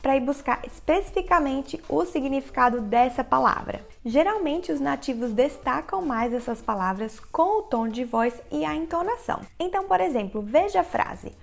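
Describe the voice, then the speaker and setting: A woman with a Brazilian Portuguese accent explaining English listening tips directly to the viewer in a clear, instructional vlog narration. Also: high voice, expressive, moderate pace, hardly any pauses. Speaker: English teacher, channel host. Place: living in the United States.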